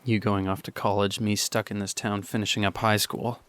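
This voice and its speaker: A young man playing an earnest, nervous teenage boy in love, speaking softly and stammering in a student radio drama. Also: fairly low pitch, little pitch movement, close to an everyday voice. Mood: wistful. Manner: halting, stammering, soft.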